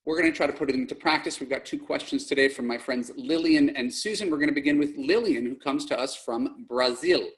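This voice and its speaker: A man's voice: mid-range voice, a little fast, hardly any pauses, rough recording. A middle-aged man hosting a dating advice podcast, speaking bluntly and plainly and often correcting himself mid-sentence. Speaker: podcast host and dating coach. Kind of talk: podcast monologue and call-in coaching.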